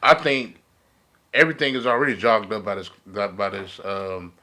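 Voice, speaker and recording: A man's voice: fairly low pitch, fairly even intonation, moderate pace, bright, forceful tone. An American man talking as a panelist in a casual podcast discussion, informal and slangy, often repeating his phrases.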